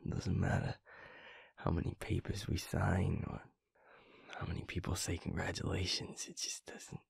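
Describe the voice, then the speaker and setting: A man playing a tender, overwhelmed young werewolf boyfriend in an intimate audio roleplay, husky and gravelly, murmuring haltingly to a partner. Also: low-pitched, monotone, no room sound, clean studio sound, heavily acted. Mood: reflective, vulnerable.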